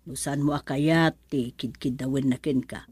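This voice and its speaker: A woman with an Ilocano accent acting a doubtful, probing middle-aged mother and auntie in a radio drama, with drawn-out exclamations. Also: rumbling low, steady tone, darkish tone, slightly rough, dry recording. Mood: soft, coaxing.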